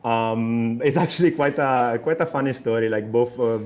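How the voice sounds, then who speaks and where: A man's voice: medium pitch, normal speed, darkish tone. A young adult man from Italy with an Italian accent, a startup co-founder talking in an interview, hesitant with many restarts and fillers. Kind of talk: conversational interview.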